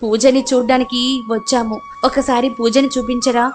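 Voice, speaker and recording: A woman voicing a helpful, conspiring neighbour who coaxes briskly in a story. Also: on the high side, level tone, soft background music, close to an everyday voice. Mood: polite.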